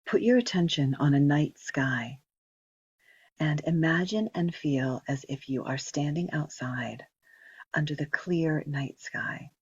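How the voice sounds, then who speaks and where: Woman doing guided meditation, soft breathy voice, slow n gentle w long pauses, close dry sound. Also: low-pitched, dry recording. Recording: dull, muffled lo-fi recording.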